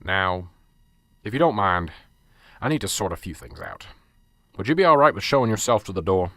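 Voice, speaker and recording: A man's voice: deep voice, big pitch swings, normal speed, a bit bright, no room sound, heavily acted. A man playing a fed-up, sarcastic police sergeant. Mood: weary. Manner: curt, exasperated, sarcastic. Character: stressed, blunt male police sergeant, short-tempered. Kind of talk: amateur radio drama.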